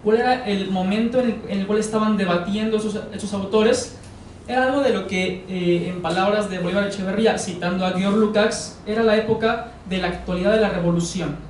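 A young man with a Mexican Spanish accent giving an academic lecture in a measured, explanatory way. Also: sits high, fairly even intonation, a little hiss or hum. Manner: measured, explanatory, frequent hedging fillers. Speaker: course lecturer. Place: living room with sofas, indoors. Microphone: far camera. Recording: echoey room from far off.

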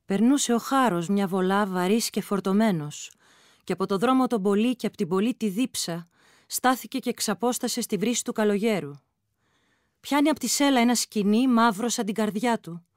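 An actress plays a woman in a Greek folk radio drama. Her voice sits at a medium pitch. The sound is close and very dry. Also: no room sound, close to an everyday voice. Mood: solemn recital. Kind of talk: radio play performance.

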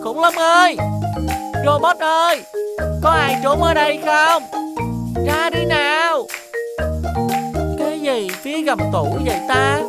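A woman dubbing an excitable young cartoon boy with a high voice. He chatters eagerly and quickly gets carried away. Loud background music plays under his lines. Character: playful, careless young boy.

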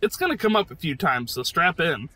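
A man's voice: on the high side, big pitch swings, a little fast, bright, forceful tone. A man giving enthusiastic scripted video game commentary in a jokey, emphatic way.

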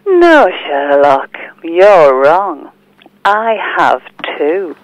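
A woman's voice: lowish voice, big pitch swings, drawn-out words, dry recording, highs cut off, full character voice. A woman playing a coy, sly, flirtatious mystery caller, speaking teasingly in an audio drama. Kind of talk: podcast-style audio drama dialogue.

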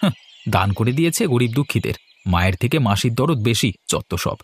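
A man's voice: mid-range voice, sing-song, fairly quick. A man voicing a greedy young son-in-law in a cartoon, grumbling and sarcastic.

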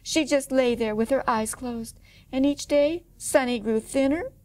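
A middle-aged woman storyteller reading a picture book aloud to children in an expressive way. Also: high voice, a little slow. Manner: expressive, clearly paced for children. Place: indoor room, standing before a painted wall.

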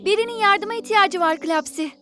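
A woman voicing a kind, playful young fairy-tale girl in a children's cartoon, sweet and expressive. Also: very high voice, fairly quick. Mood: urgent, encouraging. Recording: light music and birdsong behind.

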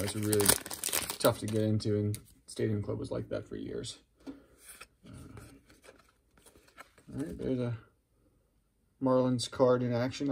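A middle-aged man with a husky, gravelly voice, chatting casually and musing aloud as he hosts a card-opening video. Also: mid-range voice, a little mellow, slight background noise. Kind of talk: casual unscripted commentary while handling cards. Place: indoors at a wooden table.

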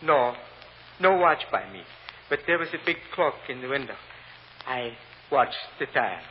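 A man playing a humble, earnest immigrant grocery clerk with a Spanish accent, testifying in halting, deferential broken English in a vintage radio drama. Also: medium pitch, expressive, lightly acted. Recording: muffled old radio recording, hiss, long reverb.

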